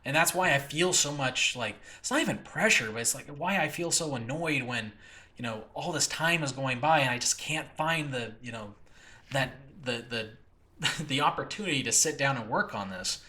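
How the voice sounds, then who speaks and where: Bright-voiced, excitable male podcast host. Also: medium pitch. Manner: excitable, gushing, effusive; rambling, halting, self-interrupting. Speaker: host and creator of an audio drama channel. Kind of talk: casual solo podcast talk to listeners.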